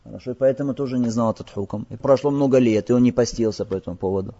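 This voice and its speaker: Mellow husky male lecturer in echoing hall. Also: medium pitch, rapid-fire. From Crimea. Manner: keeps asking rhetorical check-in questions; recites passages in Arabic. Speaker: religious teacher. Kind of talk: explaining hadith in a lecture. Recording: dull lo-fi audio with long hall reverb.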